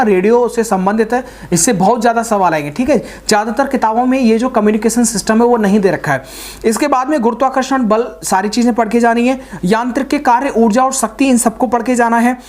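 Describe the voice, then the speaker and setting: A woman giving informal exam coaching, speaking directly to students and repeating points for emphasis. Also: fairly high pitch. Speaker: exam-prep teacher.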